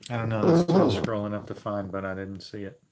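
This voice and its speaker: A man's voice: on the low side, animated, fast, a crowd in the background. A man co-hosting a livestream, chatting in an easygoing way with dry jokes. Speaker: co-host of a livestream. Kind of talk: casual livestream chat.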